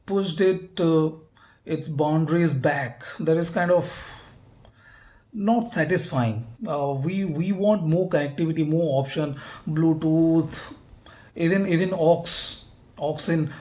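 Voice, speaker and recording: A man with a somewhat high voice gives an unscripted review of audio gear. His delivery is halting, and he often circles back to repeat a word or short phrase before moving on. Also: warm tone.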